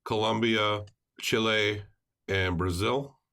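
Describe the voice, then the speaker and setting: A man's voice: fairly low pitch, fairly even intonation, slow, sharp tone. A man co-hosting a podcast, talking through a film in a casual, explanatory, conversational way. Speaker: podcast co-host.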